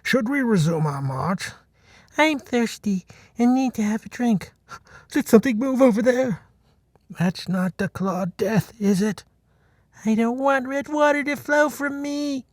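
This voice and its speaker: A man's voice: extremely high pitch, unhurried, darkish tone, no echo, clean studio sound, pitched above the performer's own voice. A man voicing nervous water buffaloes of a herd, worried and plaintive.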